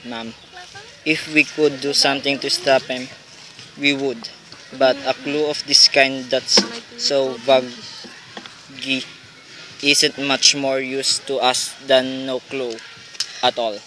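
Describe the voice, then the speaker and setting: Bright-voiced, dismissive police sergeant, acted by a man. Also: medium pitch, level tone, slow pace, a little hiss or hum. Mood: patronizing. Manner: condescending, placating. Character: dismissive, patronizing city police sergeant on duty. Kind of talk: radio play acting.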